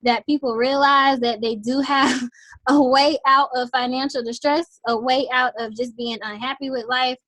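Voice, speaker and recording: A woman hosting a team sales call in an upbeat pitch, her voice a little raspy from losing it, with lots of "um" fillers. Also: steady tone, moderate pace, a bit bright, dry recording. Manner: enthusiastic, frequent "um" fillers.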